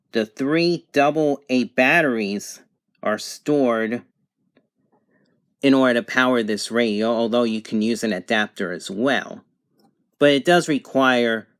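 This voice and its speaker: A man giving an unscripted product demonstration in a halting, matter-of-fact way. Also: medium pitch, fairly bright tone, clean tone, dry recording, studio quality. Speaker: product reviewer.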